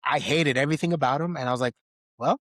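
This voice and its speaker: A man's voice: mid-range voice, quick pace, no room sound. A man co-hosting a podcast, giving a casual film review and animatedly acting out bits as he goes. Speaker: podcast co-host.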